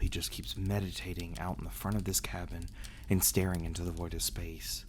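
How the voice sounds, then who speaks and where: A man's voice: sits low, slight background noise, natural voice. A man playing a brooding, bitter vampire in an ASMR audio roleplay. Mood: weary, worried. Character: brooding, bitter male vampire, resentful of his absent guardians.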